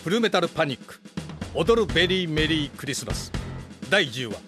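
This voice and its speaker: Higher-voiced male title announcer. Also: very animated, fairly quick, music in the background.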